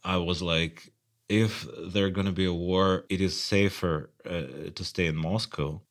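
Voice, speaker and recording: Very deep, slow-talking man. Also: fairly even intonation. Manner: slow, hesitant, with fillers. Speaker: interviewee.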